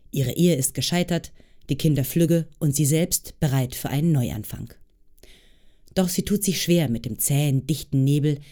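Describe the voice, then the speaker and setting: A podcast host reading a scripted introduction in a measured, even way. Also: mid-range voice. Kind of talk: scripted narration.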